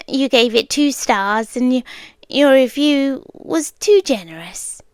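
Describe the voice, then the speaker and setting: A British woman playing an obsessive, eerily calm stalker girlfriend in an intimate ASMR roleplay, speaking in measured, deliberate phrases that often slip into whispers, close to the mic. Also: high-pitched, smooth, no room sound. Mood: matter-of-fact, eerie. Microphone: close dry microphone.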